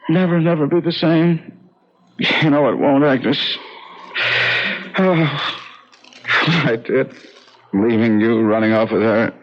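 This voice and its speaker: A man's voice: mid-range voice, steady tone, takes it slowly, pauses often, close to an everyday voice. A man acting a tormented, volatile husband racked by guilt in a 1970s radio drama, intense and overwrought. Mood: haunted. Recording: dull old 1970s radio recording.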